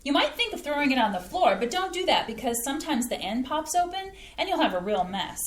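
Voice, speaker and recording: A woman's voice: high voice, very animated, a little fast, echoey room. A woman reading the part of a cheerful mother who proudly explains her home recipes in a chatty, folksy way, in a community staged reading. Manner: chatty, folksy, eager to please. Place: small community playhouse stage.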